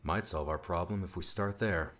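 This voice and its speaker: A man's voice: low-pitched, normal speed, a bit bright. An actor playing a level-headed, hopeful young man in an audiobook story, casual and practical. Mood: calm, practical.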